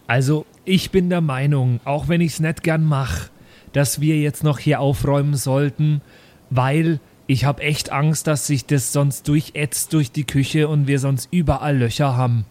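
A man's voice: on the high side, fairly even intonation, unhurried, a little mellow. A man improvising in a tabletop role-play, speaking casually and hesitantly, repeating his words.

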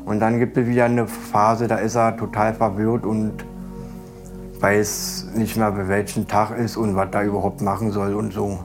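Lowish-voiced man interviewed over music. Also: little pitch movement, normal speed. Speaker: interviewee, former tenant or acquaintance. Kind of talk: spontaneous documentary interview. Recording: clear music behind the interview.

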